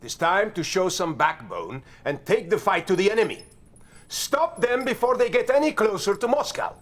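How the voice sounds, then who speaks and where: An actor playing a stern, sardonic Soviet army general with a Russian accent in a video game cutscene. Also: male voice, high-pitched, expressive, normal speed. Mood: scornful, rousing. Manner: sardonic, blustering, disdainful.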